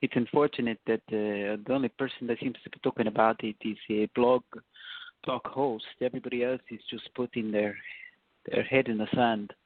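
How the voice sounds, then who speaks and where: A man calling in to a talk show, speaking in a halting, hesitant way. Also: medium pitch, steady tone, dry recording. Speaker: caller. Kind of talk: phone-in remarks. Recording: dry tinny phone line.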